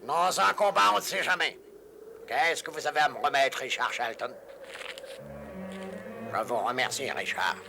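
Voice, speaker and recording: A man voicing a shrewd, commanding warrior duke in a French animated dub, curt and authoritative, with a gravelly voice. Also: medium pitch, normal speed, pauses often, noisy background, close to an everyday voice. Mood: commanding, measured.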